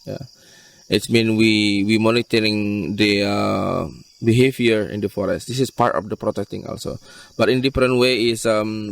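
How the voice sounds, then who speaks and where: Halting Indonesian-accented man, recorded at a distance. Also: medium pitch. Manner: halting, repetitive phrasing. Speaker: wildlife rescue NGO worker being interviewed. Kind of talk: interview explanation in non-native English. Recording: distant mic with insect chirps.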